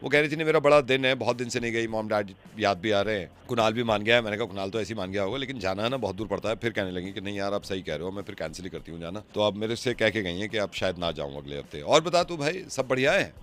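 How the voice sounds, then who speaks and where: A man's voice: on the low side, expressive, fast talker, hardly any pauses, fairly bright tone, slight background noise. A man who hosts prank calls, talking casually and arguing his point insistently. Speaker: prank call radio host. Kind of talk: prank call acting, casual chat.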